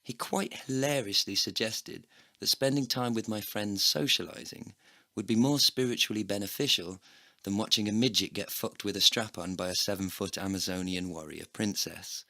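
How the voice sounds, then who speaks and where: Man acting bitter heartbroken guy narrating his own misery, deep voice with a hard edge, deadpan wordy audio play style. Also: moderate pace, no echo. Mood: bitter, wry. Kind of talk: scripted first-person comedy narration.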